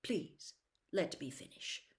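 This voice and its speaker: A woman voicing a calm, stern old male wizard headmaster in a storybook reading, hushed, formal and measured. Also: natural voice. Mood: quiet pleading. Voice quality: quiet, hushed.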